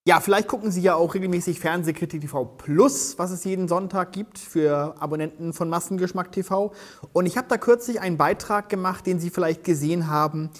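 A man hosting a TV critique show from a studio, presenting in a measured, analytical way. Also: sits high.

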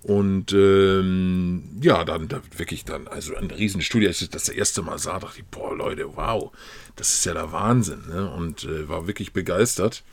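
A man who is a regular on the podcast, reminiscing casually with drawn-out fillers. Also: deep voice, gravelly, dry recording. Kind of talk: casual conversation.

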